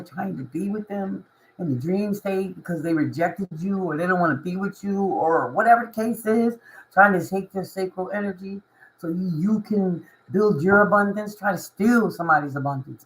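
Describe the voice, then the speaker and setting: A woman with a hoarse, raspy voice, talking to camera as a spiritual reader and life coach in a casual motivational monologue, emphatic and repetitive, often asking "you know what I mean". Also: lowish voice, poor audio.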